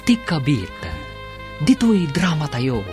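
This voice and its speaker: A man's voice: on the high side, big pitch swings, slow, over music. A man with an Ilocano Filipino accent giving a dramatic scripted narration as announcer of a radio drama.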